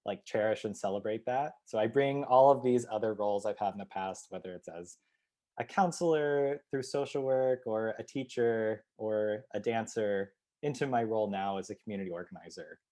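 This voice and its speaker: A man's voice: fairly low pitch, moderate pace, mellow. A man from Ohio, a community organizer, giving reflective interview answers and thinking aloud with many fillers and restarts. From United States, Ohio.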